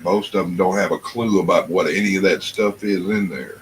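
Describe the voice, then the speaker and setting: A man talks casually in a very deep voice. His way of speaking is folksy and colloquial. There is some ambient noise behind him. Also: steady tone, normal speed, rough recording. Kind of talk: casual chat.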